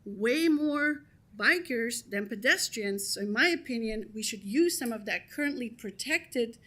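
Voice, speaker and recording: A woman's voice: fairly high pitch, animated, measured pace, fairly bright tone. A woman from Belgium, giving a direct, persuasive public comment at a council meeting. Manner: direct, persuasive, rhetorical questions. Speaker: school committee president. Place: council chamber.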